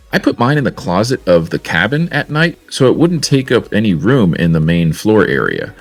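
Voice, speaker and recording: A man, presenting a scripted product video in an upbeat, salesy way. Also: deep voice. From Florida, USA. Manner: upbeat, salesy, lively intonation. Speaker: mobility scooter company presenter.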